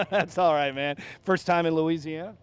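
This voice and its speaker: A man interviewing people on site for a local broadcast outdoors at a youth track meet, upbeat and quick with follow-up questions. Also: on the high side, fairly even intonation, clean tone. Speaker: sports event interviewer.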